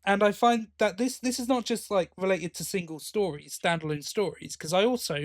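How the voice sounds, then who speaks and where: A man's voice: sits high, fairly quick, no room sound, clean studio sound. A man co-hosting a podcast, talking in a casual, chatty and enthusiastic way. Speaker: podcast co-host.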